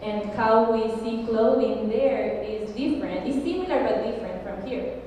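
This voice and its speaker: A woman's voice: fairly high pitch, moderate pace, slight background noise. Ecuadorian woman in an echoey gallery. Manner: animated storytelling with laughs, mixes in Spanish words. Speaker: panelist. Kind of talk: casual panel talk. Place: gallery panel with audience. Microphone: handheld mic, recorded by far camera. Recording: echoey hall from far off.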